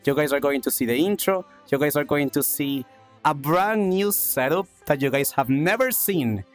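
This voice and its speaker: A man's voice: on the high side, lively intonation, moderate pace. Upbeat coughing male streamer over music. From South America. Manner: upbeat, repeats phrases, thanks viewers warmly. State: coughing, chest congestion. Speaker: streamer and talk show host. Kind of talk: casual livestream chat with viewers.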